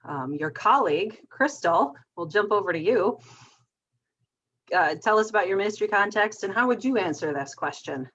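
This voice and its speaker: A woman moderating a panel, affirming the speakers and gently guiding the talk to the next guest. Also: medium pitch, lively intonation, moderate pace, slightly rough, lo-fi sound. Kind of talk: panel discussion.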